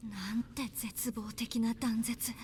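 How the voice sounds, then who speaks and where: An actress voicing a stern, exasperated, sharp-tongued professional woman in a visual novel. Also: fairly high pitch, fairly even intonation, measured pace, slight background noise, natural voice. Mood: appalled. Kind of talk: Japanese visual novel voice acting.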